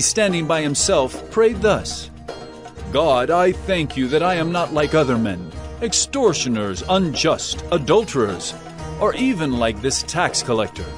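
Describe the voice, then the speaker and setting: An actor playing an earnest, solemn male teacher of parables in a dramatized audio Bible, speaking emphatically. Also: fairly high pitch. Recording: loud background music.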